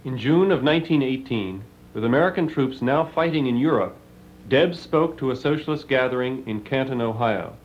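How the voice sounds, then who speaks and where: A man with a mid-range voice narrates historical background at a steady, explanatory pace. The recording sounds dull and lo-fi. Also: medium pitch, moderate pace, a little hiss or hum.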